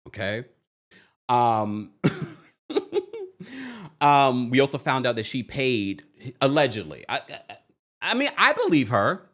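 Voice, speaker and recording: Male livestream host, casual and playful, highly animated delivery, exclamatory gossip commentary. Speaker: host of a reality TV recap show.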